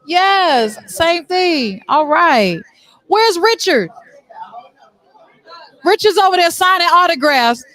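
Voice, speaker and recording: High-pitched woman, middle-aged, talk show host, chatty, playful, effusive, casual interviewing. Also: sing-song, slow pace, pauses often, a bit bright, no echo, rough recording. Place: on location at a theatre after a stage play.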